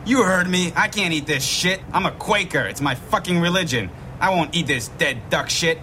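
An actor playing a furious, foul-mouthed American airline passenger with an aggressive manner, in a satirical radio play. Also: male voice, on the high side, normal speed, sharp tone, slight background noise. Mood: furious, disgusted. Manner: aggressive, swearing.

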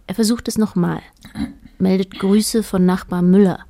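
A woman narrating a radio play as a detached observer, in a measured, matter-of-fact way. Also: mid-range voice, fairly even intonation, mellow, close to an everyday voice. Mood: detached, observant. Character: detached female narrator observing a married couple.

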